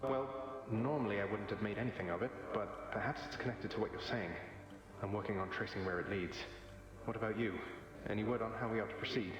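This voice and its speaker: Echoey, fast-talking, wry young prince played by a man. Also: fairly low pitch, fairly even intonation, natural voice. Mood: thoughtful. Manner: dry, sardonic, casually eloquent. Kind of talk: video game drama acting. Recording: echoey hall with long reverb.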